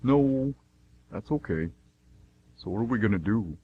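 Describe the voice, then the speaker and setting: A man's voice: on the low side, lively intonation, unhurried, slightly rough, phone-quality sound. A man playing a sarcastic, skeptical and somewhat nervous friend who wisecracks, in an amateur radio drama.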